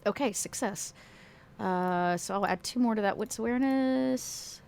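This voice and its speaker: A woman's voice: mid-range voice, a little slow, vocal fry, darkish tone, clean tone. A woman playing in a tabletop game, thinking aloud and changing her mind mid-sentence as she decides on her rolls. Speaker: player. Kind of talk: tabletop game play, casual.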